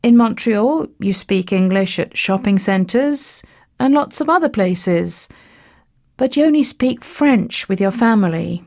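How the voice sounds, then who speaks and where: A woman's voice: medium pitch, expressive, a little slow, a little mellow. A woman voicing a young French-Canadian restaurant manager. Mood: matter-of-fact. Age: young adult. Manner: plain first-person account.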